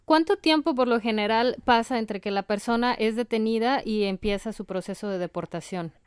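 A woman hosting a radio interview, asking measured, clear questions. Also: a little high, dry recording, clean studio sound.

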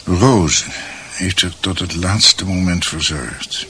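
Grave, low-voiced man, very bright. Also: heavily acted. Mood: sombre. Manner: measured, sombre. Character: grave man recounting his family's tragic history. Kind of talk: radio drama narration. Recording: faint hiss.

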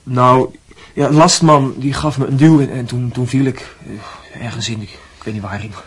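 Young male student for radio play, played by a man: very husky mid-range voice, dutiful, halting, evasive with his elders. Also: mid-range voice, fairly even intonation, close to an everyday voice. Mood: dutiful, hesitant. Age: young adult. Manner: halting, hesitant delivery. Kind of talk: radio drama acting.

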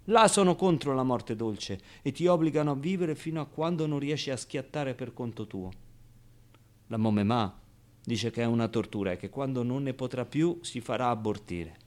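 A man's voice: mid-range voice, expressive, normal speed, darkish tone, close to an everyday voice. A man playing a streetwise, wry and wounded ten-year-old orphan boy in a theatrical radio monologue, talking in slangy, colloquial bursts and muttered asides. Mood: indignant, impassioned. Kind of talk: theatrical radio monologue, first-person storytelling.

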